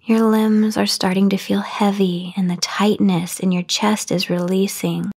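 Breathy, half-whispering woman guiding meditation. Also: mid-range voice, little pitch movement, a little mellow. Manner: soothing, unhurried, long pauses. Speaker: meditation guide. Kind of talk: guided sleep meditation narration, soft-spoken. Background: voice only, no music or background noise.

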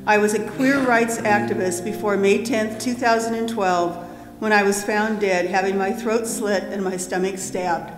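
A woman's voice: medium pitch, steady tone, normal speed. An older woman reading aloud solemnly as a memorial volunteer. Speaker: volunteer reader. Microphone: lectern microphone. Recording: echoey church, guitar music behind.

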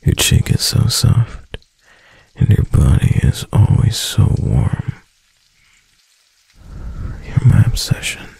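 Man doing a sappy clingy husband, very deep, gravelly, husky, slow and close. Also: full character voice, poor audio. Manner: soft, intimate, sappy. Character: doting clingy young husband, affectionate and playful. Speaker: voice actor. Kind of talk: boyfriend roleplay ASMR.

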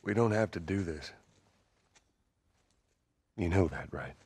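An actor playing a gruff, weary middle-aged survivor, fiercely protective, with a husky, gravelly voice and terse delivery in a video game. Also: male voice, low-pitched, animated, takes it slowly, darkish tone, dry recording, heavily acted. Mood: weary, gentle. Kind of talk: naturalistic video game acting.

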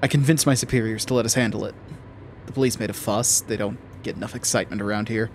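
A man's voice: lowish voice, steady tone, fast talker, soft background music, close to an everyday voice. Man playing a dry, guarded federal agent. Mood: matter-of-fact. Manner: dry, clipped, matter-of-fact. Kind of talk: horror audio drama acting.

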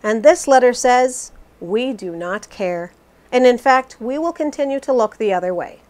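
A woman voicing a resolute, critical politician in a scripted interview re-enactment, formal and measured. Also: a little high, lively intonation, a little mellow, lightly acted.

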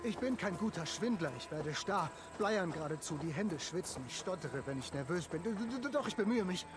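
An actor playing a nervous, boastful, stuttering monk who poses as a pompous lord in a video game. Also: male voice, sits high, lively intonation, fairly quick. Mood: anxious, self-deprecating. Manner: stutters when nervous, blustering. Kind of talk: dramatic video game dialogue.

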